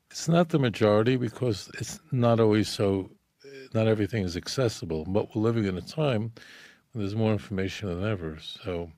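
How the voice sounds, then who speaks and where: A man's voice: low voice, darkish tone, dry recording. A man serving as the rabbi hosting the call, speaking in a reflective, conversational way. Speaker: rabbi and host. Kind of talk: conversational commentary.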